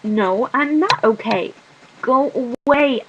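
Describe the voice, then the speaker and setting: A woman voicing a homesick, teary seventeen-year-old girl in a storybook reading, speaking slowly and pleadingly. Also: high-pitched, lively intonation, highs cut off, background noise, pitched above the performer's own voice. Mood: upset.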